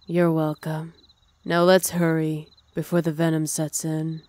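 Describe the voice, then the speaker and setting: A woman voicing a calm female vampire friend in a lower, steadier voice. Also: measured pace, dry recording. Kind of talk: ASMR roleplay.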